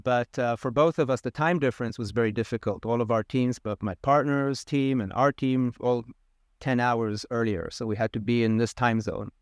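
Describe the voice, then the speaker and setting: A man in his thirties from Israel, a molecular biologist answering questions in a relaxed, thoughtful way. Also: mid-range voice, normal speed, clear, resonant tone, dry recording, clean studio sound. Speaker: podcast guest, molecular biologist. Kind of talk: conversational interview.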